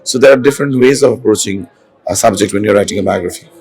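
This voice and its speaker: A hoarse man, an author and film director, speaking in a festival interview with many fillers and restarts. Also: lowish voice, fast, darkish tone. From India. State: hoarse, has lost his voice. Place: literature festival venue. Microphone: handheld mic.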